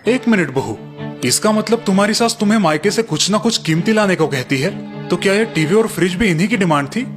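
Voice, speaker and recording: A man performs an earnest family man from a cartoon morality tale. His voice is on the high side for a male, with a puzzled air. Music runs behind it. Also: lively intonation, a little fast. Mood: questioning, approving. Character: earnest Indian family men: puzzled father, husband. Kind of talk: cartoon moral-story voice acting.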